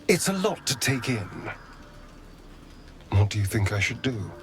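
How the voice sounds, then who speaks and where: Casting: proud, wounded vampire rogue, slow and dramatic, lively pitch, faint music, game dialogue. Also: male voice. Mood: brooding, bitter. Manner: theatrical, brooding. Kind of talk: video game character acting.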